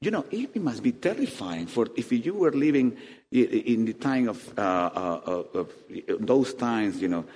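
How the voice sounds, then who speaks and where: Animated preacher in a reverberant hall. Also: male voice, mid-range voice, normal speed, slightly rough. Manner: animated preaching with rising emphatic peaks and soft asides. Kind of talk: sermon. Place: church hall. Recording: long reverb of a church hall.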